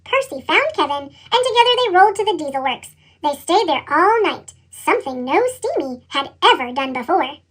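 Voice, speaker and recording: Chipmunk-pitched woman reading a children's story. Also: big pitch swings, moderate pace, a bit bright. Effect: sped-up chipmunk voice effect. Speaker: storybook reader. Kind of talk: expressive children's story narration.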